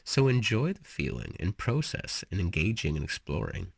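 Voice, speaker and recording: A man leading a guided relaxation meditation with storytelling, speaking slowly and soothingly in an instructive way with pauses. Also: sits low, very animated, creaky, breathy edge, no echo, clean studio sound.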